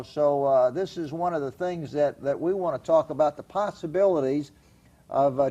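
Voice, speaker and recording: A man's voice: a little high, dark tone. A man hosting a television talk show, introducing and interviewing a guest in a chatty, emphatic way. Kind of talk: studio interview. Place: television studio.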